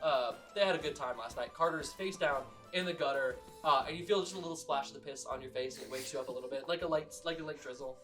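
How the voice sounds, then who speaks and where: A man's voice: mid-range voice, fast, few pauses, fairly bright tone, soft background music. A man narrating as game master in a casual, laughing, filler-heavy way. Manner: laughing, loose, full of fillers.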